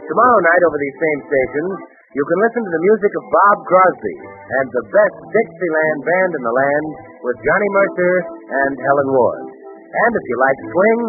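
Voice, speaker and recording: A man's voice: a little high, animated, moderate pace. A male radio announcer reading scripted closing announcements in a 1930s broadcast style, on an old recording. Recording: tinny 1939 radio recording with music.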